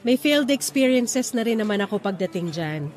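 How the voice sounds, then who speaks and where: A woman's voice: medium pitch, expressive, moderate pace, darkish tone, faint music behind, close to an everyday voice. An actress playing an independent, frank young single woman in radio romance drama, speaking conversational Taglish in a reflective, assertive way. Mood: reflective, advising.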